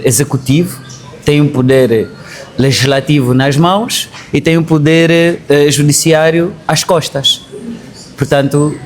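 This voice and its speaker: A man from Angola with an Angolan accent, speaking as an interview guest in an explanatory way, often rephrasing himself. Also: a little high. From Angola, Bié. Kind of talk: conversational political interview.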